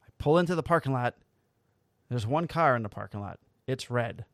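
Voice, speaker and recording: A man's voice: medium pitch, lively intonation, normal speed, fairly bright tone, dry recording. A man who is a police officer and podcast host, telling a work story in a blunt, wry way with plenty of swearing. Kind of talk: casual storytelling.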